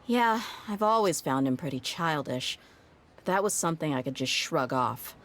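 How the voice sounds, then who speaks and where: Game cast: low-pitched, blunt, easygoing young female mercenary. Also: expressive, normal speed, a bit bright, slight background noise. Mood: steady. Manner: easygoing, blunt, matter-of-fact. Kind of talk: game voice acting, story dialogue.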